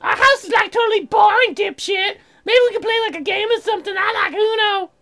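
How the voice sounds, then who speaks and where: Ditzy young townswoman, played by a woman: very high, crude, rambling, oversharing, comic game read. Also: brisk, a bit bright, faint music behind. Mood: snippy, bored. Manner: airheaded, rambling, full of "like". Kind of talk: comic visual novel voice acting.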